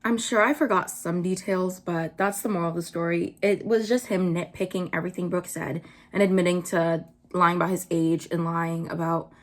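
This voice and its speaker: A young woman doing casual commentary, blunt and full of "like" fillers, her pitch jumping up when she gets worked up. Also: steady tone, normal speed. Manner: blunt, swears, many "like" fillers. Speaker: vlogger.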